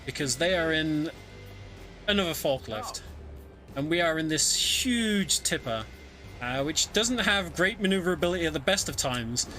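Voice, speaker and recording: Bright-voiced man commentating a speedrun. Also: on the high side, lively intonation, moderate pace. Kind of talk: live speedrun commentary, casual. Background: video game music.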